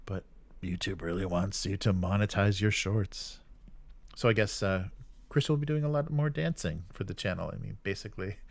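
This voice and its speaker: A man's voice: low-pitched, no room sound. A man hosting a live stream in casual chat, rambling hesitantly and often trailing off mid-sentence.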